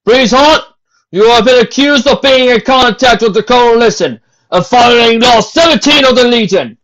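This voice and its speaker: Guy doing a booming mean pony captain, really loud and drawn out, muffled lo-fi sound. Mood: commanding. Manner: booming, commanding, slow and theatrical. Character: cruel booming male pony legion captain. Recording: dull lo-fi home recording.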